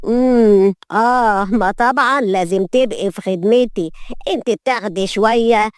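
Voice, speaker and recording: A woman's voice: mid-range voice, normal speed, no room sound, studio quality, lightly acted. A woman with an Egyptian accent voicing a cantankerous, imperious old lady of the house, scolding and drawling in an audio story. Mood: dismissive. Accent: Egyptian Arabic.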